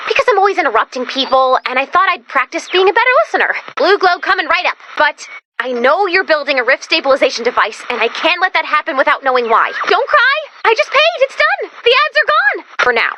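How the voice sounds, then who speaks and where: A woman voicing a perky, anxious, chatty young female robot helper in a video game, girlish and excitable, rambling theatrically. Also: high voice, fairly quick, background noise. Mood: apologetic, resolute, then excitable, panicky. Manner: excitable, rambling, theatrical, swinging into hushed asides. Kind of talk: animated video game character voice lines.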